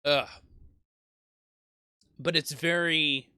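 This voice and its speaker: A man's voice: fairly high pitch, fairly even intonation, drawn-out words, pushed and bright, clear, resonant tone, no room sound, clean studio sound. A man in his twenties, a YouTube gaming creator, reflecting in a casual monologue that rambles with frequent restarts and self-deprecating asides. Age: 20s.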